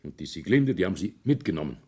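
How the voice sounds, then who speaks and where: A husky voice with swinging intonation: an older Bavarian businessman in a radio play. He is calculating and insistent, a father pressing his case. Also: deep voice, very animated, fast talker, a little mellow, heavily acted. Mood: insistent, defensive. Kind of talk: naturalistic radio drama acting.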